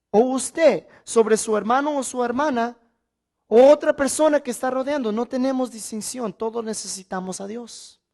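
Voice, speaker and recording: A male preacher teaches from the Bible in a sermon style. He phrases each point deliberately and with emphasis, leaning on repetition and questions put to his listeners. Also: very high voice, darkish tone.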